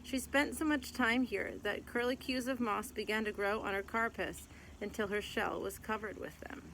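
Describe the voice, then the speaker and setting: A woman's voice: medium pitch, moderate pace, a bit bright. Woman reading a storybook, noisy background. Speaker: teacher reading aloud to a class. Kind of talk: picture book read-aloud, scripted narration. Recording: clear background noise.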